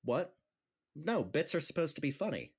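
Man playing playful comic friend, chatty, teasing, highly animated intonation, audio drama. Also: moderate pace, vocal fry, husky, exaggerated voice. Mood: casual, puzzled. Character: upbeat, teasing friend and amateur stand-up comic.

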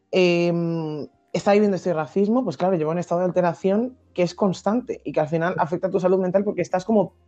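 A young woman from Madrid with a Madrid accent, a podcast guest explaining her ideas thoughtfully, with many pauses and restarts. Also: fairly low pitch, warm tone, lo-fi sound. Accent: Madrid Spanish. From Madrid, Spain. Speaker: guest activist. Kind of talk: podcast interview.

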